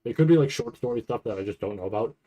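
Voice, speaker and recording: A man giving casual, off-the-cuff commentary on a video, thinking aloud in a halting way and often repeating himself. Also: mid-range voice, animated, mellow. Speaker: anime reaction streamer.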